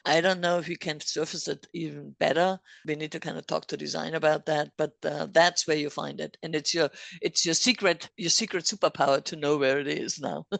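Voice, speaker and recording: A woman's voice: sits low, steady tone, fairly quick, vocal fry, hardly any pauses, no echo. A woman podcast host, not a native English speaker, chatting casually and explaining things step by step with frequent laughs. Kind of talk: casual technical chat.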